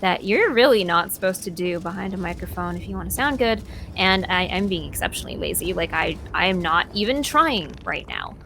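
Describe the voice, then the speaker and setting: Relaxed woman talking over faint noise. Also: lowish voice, fairly quick, gravelly. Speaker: voice actor being interviewed. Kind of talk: casual, relaxed conversation.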